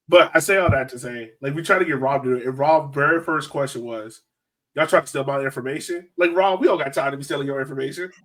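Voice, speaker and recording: A man's voice: mid-range voice, fast talker, a bit bright, recorded from a distance, rough recording. A man hosting a casual sports fan podcast, talking in an informal, slangy, joking way. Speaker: podcast host.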